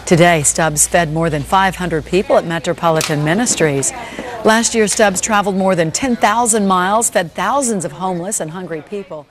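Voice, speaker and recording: A woman's voice: lowish voice, normal speed. A woman presenting a scripted news report.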